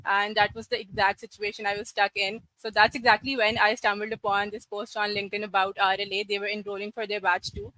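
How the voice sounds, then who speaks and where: A woman in her twenties from Karachi, a podcast guest telling her career story in a steady, articulate, matter-of-fact way. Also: mid-range voice, no echo, rough recording. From Karachi, Pakistan. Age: 20s. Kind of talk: podcast interview.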